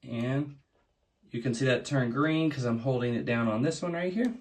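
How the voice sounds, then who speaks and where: A man's voice: mid-range voice, moderate pace, a little mellow. A man hosting a casual product unboxing, explaining things plainly, step by step. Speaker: unboxing video host.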